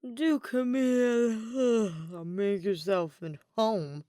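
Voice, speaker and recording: A woman's voice: fairly high pitch, steady tone, drawn-out words, warm tone, no echo, studio quality, pitched above the performer's own voice. A woman voicing an earnest, irritable young nobleman in an audiobook story, hesitant and stumbling when flustered. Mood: grumbling.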